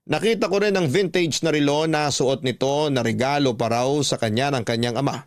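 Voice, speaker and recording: A man's voice: mid-range voice, fairly quick, no echo. Dry-sounding man narrating a story. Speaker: radio drama narrator. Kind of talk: scripted first-person story narration.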